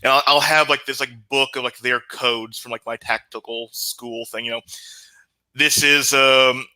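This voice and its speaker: Male tabletop player, piercingly bright tone, medium pitch, casual chat. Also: steady tone, moderate pace, rough recording.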